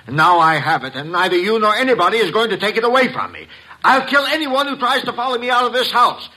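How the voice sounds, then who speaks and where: An actor in a 1950s radio drama playing a haughty, desperate murderer, with a strained, indignant, melodramatic delivery. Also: male voice, sits high, sing-song, fast talker, pushed and bright, exaggerated voice, low-quality recording. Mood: desperate, menacing. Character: desperate, haughty male murderer cornered at gunpoint.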